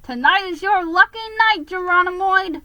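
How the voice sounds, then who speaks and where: A woman's voice: very high-pitched, moderate pace, pushed and bright, no room sound, voice pushed up. A woman voicing a smug, boastful male mouse cousin who teases familiarly in a storybook reading. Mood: boastful, excited. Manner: smirking, teasing, familiar.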